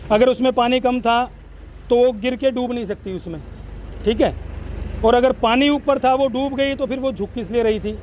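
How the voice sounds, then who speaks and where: A man's voice: very high voice, normal speed, a little mellow, a little hiss or hum. A man giving an earnest, explanatory account in a news interview. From Haryana, India. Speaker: villager interviewed by local news. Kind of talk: on-camera news interview testimony.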